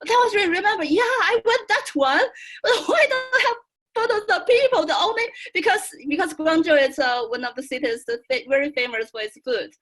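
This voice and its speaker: A young adult woman from China with a Chinese accent, chatting casually in halting, excitable, giggly English on a video call. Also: extremely high pitch. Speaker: student and fan club member.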